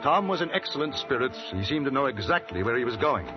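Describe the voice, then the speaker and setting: An actor playing a polite, articulate gentleman adventurer in a 1950s radio western, speaking in a measured, composed way. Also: medium pitch, sing-song, music in the background, close to an everyday voice. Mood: composed narration. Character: polite, composed gentleman adventurer narrating his frontier travels. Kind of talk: 1950s radio drama narration and dialogue. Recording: dull old radio recording.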